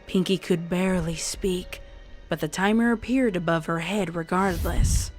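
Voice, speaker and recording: A woman's voice: fairly low pitch, normal speed. A woman reading scripted, dramatic story narration as the narrator of a fan-fiction tale. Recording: music behind the narration. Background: music.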